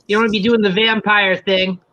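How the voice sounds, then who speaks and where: An American man chatting casually on a livestream, making dry, low-key remarks. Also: flat delivery, fairly quick, bright, forceful tone, muffled recording. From United States.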